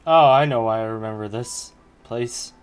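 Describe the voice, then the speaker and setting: A man's voice: mid-range voice, normal speed, clean tone. A man giving casual gameplay commentary, playfully muttering and humming to himself. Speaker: gaming streamer.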